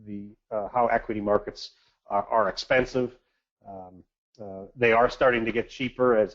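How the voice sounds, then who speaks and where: A man giving a measured, businesslike market briefing as an investment manager on a conference call, speaking in a halting, hesitant way. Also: on the low side. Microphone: conference call line. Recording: dull, band-limited conference call audio.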